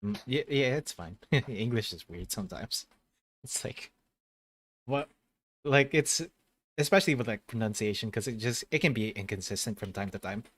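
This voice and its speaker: A man's voice: fairly low pitch, animated, normal speed, fairly bright tone, slightly rough. A man hosting a casual gaming stream chat. He rambles, corrects himself mid-sentence and laughs easily. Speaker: host of a gaming channel.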